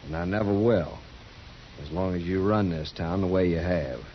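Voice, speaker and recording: Male actor as dangerous frontier gunman, deep voice, calm, proud, folksy, dull hissy old radio sound. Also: lightly acted. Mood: respectful, wary. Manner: plain-spoken, folksy, unhurried. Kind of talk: 1950s radio drama acting.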